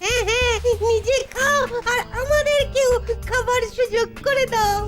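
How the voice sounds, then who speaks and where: Tiny whiny cartoon child, extremely high squeak, over prominent music. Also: female voice, normal speed. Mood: eager.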